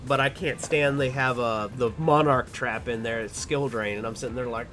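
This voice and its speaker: A man's voice: mid-range voice, fairly even intonation, moderate pace, fairly bright tone, faint music behind. A man of about thirty hosting a casual online interview, chatty and joking, with many fillers. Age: around 30. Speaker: host. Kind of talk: casual chat.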